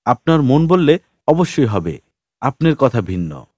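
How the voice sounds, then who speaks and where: A man's voice: medium pitch, big pitch swings, measured pace, darkish tone, no room sound. A man voicing a huge, naively earnest street hustler in an audiobook, speaking colloquial rustic dialect in a respectful, pleading way.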